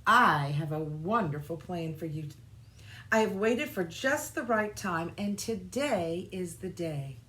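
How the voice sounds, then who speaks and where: A woman voicing a kind, reassuring male farmer in a children's storybook, gentle and measured. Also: on the low side, expressive. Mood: encouraging. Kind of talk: children's storybook read-aloud.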